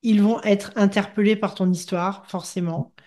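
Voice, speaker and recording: Female interviewer, gentle and searching, medium pitch. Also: steady tone, normal speed. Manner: gentle, searching questions with restarts. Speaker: interviewer, host. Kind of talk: interview conversation.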